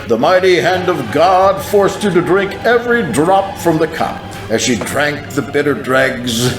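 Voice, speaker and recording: A man giving a solemn, dramatic first-person scripted narration of a religious vision. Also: medium pitch. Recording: echoing, clear music behind. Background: music.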